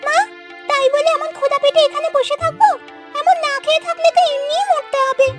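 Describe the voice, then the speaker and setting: Very high-pitched, whiny greedy duckling. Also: female voice, fairly even intonation, fairly quick, faint music behind. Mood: hungry, pleading. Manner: whiny, complaining. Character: greedy, sulky, self-pitying young duckling.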